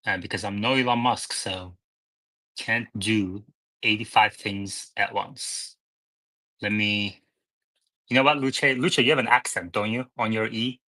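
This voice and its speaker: A man's voice: lowish voice, steady tone, a little slow, pauses often, bright, forceful tone, rough recording. A man hosting a live stream discussion, speaking informally and thinking aloud as he moderates. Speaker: host.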